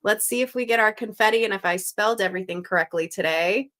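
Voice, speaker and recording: A woman's voice: medium pitch, quick pace. A woman from Canada hosting a live crossword stream, reading out viewer guesses in an upbeat, chatty way and thinking aloud. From Canada (Montreal).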